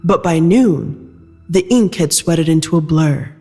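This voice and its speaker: A narrator reading scripted audio fiction in a measured storytelling style. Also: mid-range voice, mellow, slightly rough, in a large hall, soft background music.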